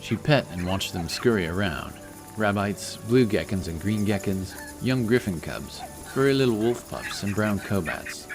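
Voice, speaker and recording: A man's voice: fairly low pitch, animated, moderate pace. A man reading scripted fantasy narration as a storyteller, with music behind his voice.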